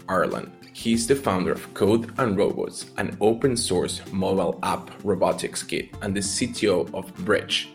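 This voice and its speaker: A man hosting a podcast, reading a scripted intro and then asking questions with frequent restarts. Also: deep voice. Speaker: podcast host.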